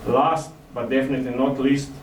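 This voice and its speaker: A man with a Slovenian accent giving a formal reception speech as ambassador, halting with frequent "uh" pauses. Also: mid-range voice, level tone. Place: large hotel reception hall. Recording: echoey hall from far off with room noise.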